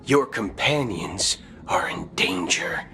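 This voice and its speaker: An actor playing a folksy, principled space ranger gunslinger in a video game cutscene, drawling theatrically. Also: male voice, on the low side, lively intonation, slow pace, pushed and bright, in a whisper, full character voice. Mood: grave. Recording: music behind the voice.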